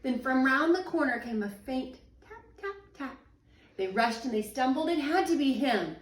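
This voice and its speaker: A woman, a teacher in her thirties, reading a children's picture book aloud at home in a sing-song, expressive way. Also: high voice, normal speed. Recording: echoey room, voice a little far from the mic.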